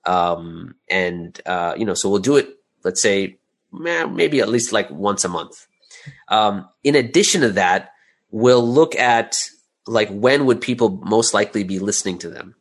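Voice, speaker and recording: A man with a fairly low voice talks as a guest in an interview. He explains things in a casual, conversational way. He often doubles back on words and pauses to find the next phrase. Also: lively intonation. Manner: informal, hesitant, often repeating words mid-thought. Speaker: interview guest, audio drama creator and business owner.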